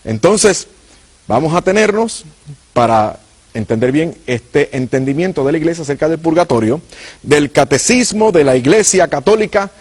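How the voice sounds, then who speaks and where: Husky Puerto Rican preacher man. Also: on the high side, expressive, normal speed, darkish tone. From Puerto Rico. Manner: earnest, addresses viewers directly. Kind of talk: apologetics teaching.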